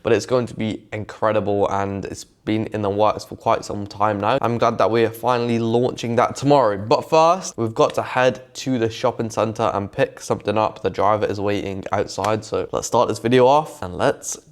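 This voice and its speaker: A man's voice: lowish voice, steady tone, moderate pace, few pauses, no echo. A man in his twenties chatting casually to viewers in a vlog, recorded from the back seat of a car and in a mall. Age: 20s. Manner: chatty, talks straight to viewers. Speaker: vlogger.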